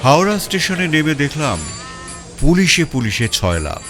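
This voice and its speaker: A man narrating a detective story in the first person, in an expressive, dramatic reading style. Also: on the high side, normal speed. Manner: expressive, rising and falling. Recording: faint music bed.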